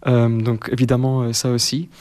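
A man in his twenties from Poland, a cellist answering interview questions in a second language, soft-spoken and hesitant with many fillers. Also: mid-range voice, little pitch movement, normal speed. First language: Polish. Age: 20s.

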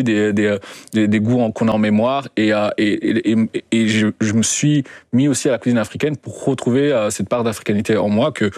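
A man's voice: fairly low pitch, a little mellow, no room sound, studio quality. A young man, a guest telling his story in an interview, hesitant with many fillers and restarts. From Belgium. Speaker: guest, documentary co-director. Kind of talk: radio interview, personal story.

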